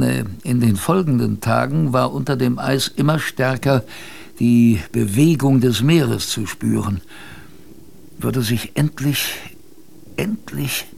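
An actor playing a male polar expedition survivor, narrating his story dramatically in first person, halting and repeating phrases. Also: medium pitch, vocal fry, slight background noise, heavily acted. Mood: reflective, hopeful.

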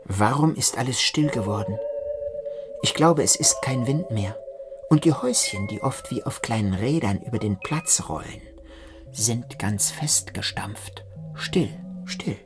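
A man's voice: medium pitch, lively intonation, unhurried. A man narrating a literary radio drama in the first person. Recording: music behind the voice. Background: music.

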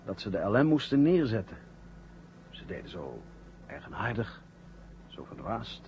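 An actor playing an anxious, bewildered stranded astronaut, halting and earnest, in a 1970 radio drama. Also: male voice, on the low side, big pitch swings, darkish tone. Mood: troubled, bewildered. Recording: dull, noisy 1970 radio drama recording.

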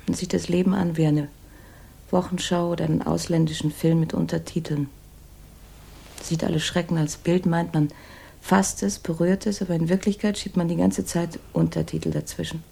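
Low, husky, earnest woman in love. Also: flat delivery, moderate pace, mellow, exaggerated voice. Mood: reflective. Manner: hesitant, soul-searching, intimate. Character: earnest, remorseful woman in love, cool and introspective. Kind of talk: 1960s radio drama acting.

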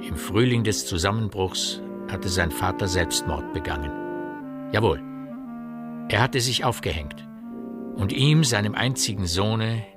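A man with an Austrian accent narrating a radio drama in measured, literary, faintly ironic storytelling. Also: low-pitched. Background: prominent brass music.

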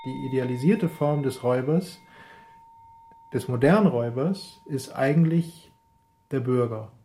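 A man giving spontaneous commentary in a halting, explanatory way. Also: mid-range voice, warm tone.

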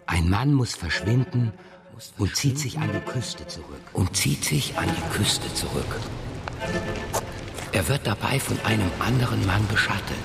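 A man's voice: on the low side, lively intonation, measured pace, gravelly, over music. An actor playing a playful, evasive middle-aged father who roams about and writes, with whimsical, hesitant phrasing in a children's radio play. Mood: mysterious storytelling. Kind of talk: German children's radio drama dialogue.

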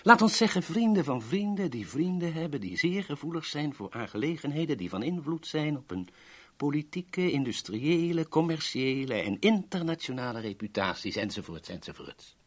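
Florid, wheedling go-between, swooping intonation. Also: male voice, a little high, sing-song, moderate pace, husky. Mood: oily persuasion. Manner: florid, over-courteous, theatrical. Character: polite, wheedling, self-important male shady go-between. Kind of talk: 1970s Dutch radio drama acting.

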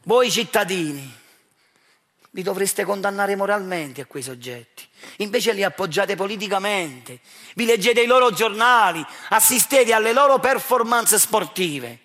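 High-pitched Neapolitan man, provocative stage monologue. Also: lively intonation, unhurried, sharp tone. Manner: provocative, accusatory, rhetorical questioning. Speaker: stage actor performing his own monologue. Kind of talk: theatrical monologue addressed directly to the audience.

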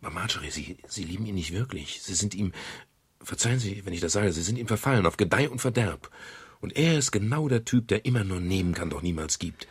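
A man playing a world-weary private detective in a radio crime drama, speaking with a dry, wry manner and a gravelly, husky voice. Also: sits low, animated, brisk, no room sound, exaggerated voice. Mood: urgent, pressing. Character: world-weary male private detective narrating his case. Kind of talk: 1970s German radio crime drama, first-person narration and dialogue.

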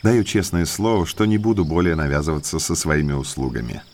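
Deep-voiced, courteous, mysterious naturalist. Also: male voice, animated, brisk, a little mellow. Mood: courteous, insistent. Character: well-mannered male naturalist, eager guide to eerie moors. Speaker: actor in an audio drama. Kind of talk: Russian audiobook drama acting.

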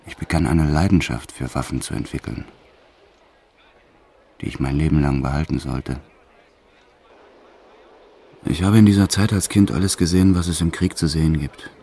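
An actor playing a notorious male French criminal who recounts his wartime childhood in a brooding, deliberate way, as in an audio drama. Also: rumbling low, fairly even intonation, creaky, warm tone, husky, exaggerated voice. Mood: grim reminiscence. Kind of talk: dramatized first-person audio drama narration.

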